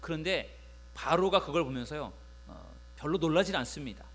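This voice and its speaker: A male pastor giving a Sunday sermon in a conversational way, often pausing on filler sounds while he retells a Bible story to the congregation. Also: a little high, expressive, fairly bright tone.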